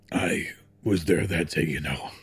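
A man plays an ailing old chairman in an audio drama. His voice is low and husky, and he speaks very slowly, halting as he reflects with weary regret. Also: full character voice. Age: older. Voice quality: husky, frail, with throat clearing and coughs. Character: sickly, wheezing old corporate chairman, wistful and regretful.

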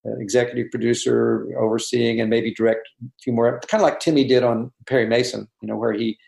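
A man, a guest director on a video call, talking casually, halting and repeating words with many fillers. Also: medium pitch, fairly even intonation, creaky, darkish tone, roomy sound.

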